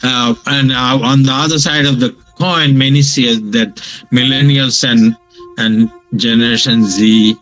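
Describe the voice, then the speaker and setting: An older man from Bangladesh, a professor giving a lecture from his home over a video call, pausing often with fillers and restarts. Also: medium pitch, fairly even intonation, fairly bright tone, faint music behind, rough recording. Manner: slow, halting delivery with many fillers and restarts. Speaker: guest lecturer.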